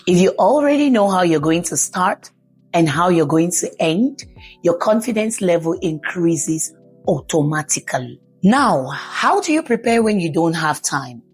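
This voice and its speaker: A woman with a low, husky voice coaches listeners on public speaking. She talks with emphasis and keeps coming back to her key points, with soft music playing behind her. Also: very animated, measured pace. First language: English. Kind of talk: instructional talk.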